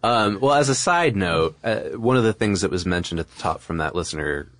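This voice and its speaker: A man's voice: low-pitched, lively intonation, brisk, a bit bright, dry recording. A man co-hosting a show, chatting casually and reacting to what he hears. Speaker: podcast co-host.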